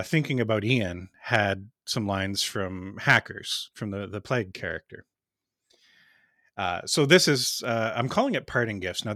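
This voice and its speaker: Podcast host, man, smooth tone, bright and forward, talks in rambling asides with little laughs, dry close sound. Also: lowish voice, no echo. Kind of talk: casual chat.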